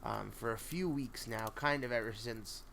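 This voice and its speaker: Rambling man streaming over steady background noise. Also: mid-range voice, gravelly. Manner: rambling, halting, thinking out loud. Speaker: host of a spooky story reading stream. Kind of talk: casual livestream chat.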